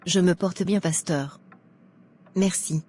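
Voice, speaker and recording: Woman acting a blunt, low-voiced judgmental churchwoman. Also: slow, warm tone, a little hiss or hum. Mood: matter-of-fact. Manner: outspoken, scolding.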